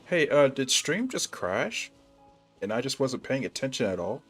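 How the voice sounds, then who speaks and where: A man's voice: mid-range voice, sing-song, moderate pace, a bit bright, breathy edge. A man giving casual, off-the-cuff livestream commentary as he plays a video game. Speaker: game streamer. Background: faint game music.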